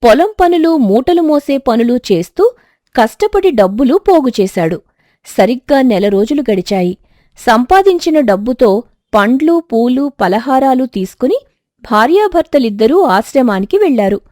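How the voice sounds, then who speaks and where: High, animated woman storyteller. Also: moderate pace, no room sound, clean studio sound. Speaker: storyteller, narrator. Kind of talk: scripted moral-story narration.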